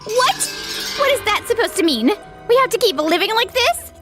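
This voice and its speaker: A woman playing a spoiled, resentful wife in a comic dub, sharp and complaining. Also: extremely high pitch, sing-song, normal speed, over music. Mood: indignant. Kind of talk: anime-style comic dub acting.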